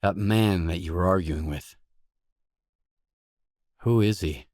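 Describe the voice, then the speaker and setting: An actor voicing a gruff, guarded stalker mercenary in a video game, blunt, terse and no-nonsense. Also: male voice, sits low, slow, a little mellow, no room sound, studio quality. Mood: terse, businesslike. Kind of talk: video game dialogue lines.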